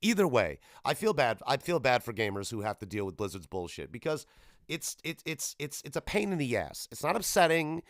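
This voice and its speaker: A man's voice: mid-range voice, very animated, a little fast, a bit bright, dry recording, studio quality. Rambling, irreverent man hosting a podcast. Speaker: podcast host. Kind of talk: casual solo gaming commentary.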